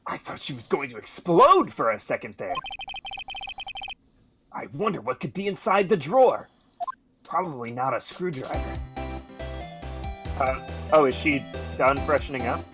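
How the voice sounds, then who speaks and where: A woman's voice: rumbling low, expressive, a little slow, long pauses, music in the background. A woman on a casual group game stream, reading dialogue aloud and commenting in a joking, sardonic way.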